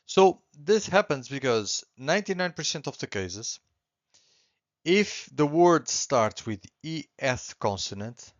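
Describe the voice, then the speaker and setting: A man's voice: a little high, expressive, slow pace, dull audio. A Portuguese man with a Portuguese accent, teaching a beginners' language class in a patient, reassuring way that often repeats points and adds "okay?". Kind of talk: online language lesson.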